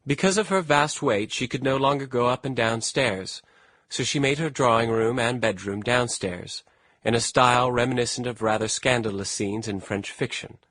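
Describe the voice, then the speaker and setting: An actor playing a genteel, wistful young New York gentleman in an audiobook drama, speaking in a measured, formal and refined way. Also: mid-range voice, no room sound, natural voice. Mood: wry, reflective. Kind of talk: audiobook drama narration and dialogue. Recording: music behind the voice.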